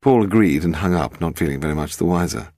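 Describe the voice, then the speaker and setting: A man narrating a detective novel as an audiobook, in a measured, expressive storytelling manner. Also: low-pitched, dry recording, studio quality.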